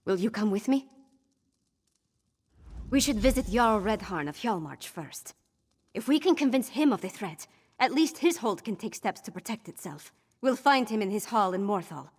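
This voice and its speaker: A woman's voice: medium pitch, expressive, moderate pace, breathy edge. A young fantasy game princess who has little polish and speaks frankly. She sounds wry and self-doubting, yet earnest and resolute, in a mid-range voice. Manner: earnest, informal, self-deprecating. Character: young princess, wry, rough-edged, grieving and unsure but resolute. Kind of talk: video game voice acting.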